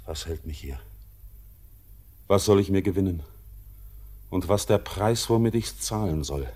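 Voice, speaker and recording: An actor playing a haunted, defiant young orphaned sailor in a solemn radio drama, declaiming haltingly and with anguish. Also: male voice, low voice, expressive, mellow. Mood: anguished pleading.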